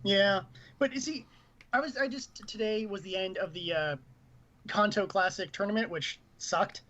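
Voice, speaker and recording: High-pitched male podcast co-host, opinionated rambling gamer chat, muffled lo-fi audio. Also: normal speed, pushed and bright. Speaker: podcast co-host, gaming news reporter. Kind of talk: casual panel chat about video games.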